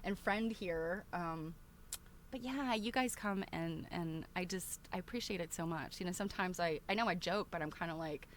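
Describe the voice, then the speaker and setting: A woman's voice: lowish voice, normal speed, a little hiss or hum. Chatty woman hosting a casual livestream. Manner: chatty, rambling, often breaks into laughter. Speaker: livestream host. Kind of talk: casual livestream chat to regulars.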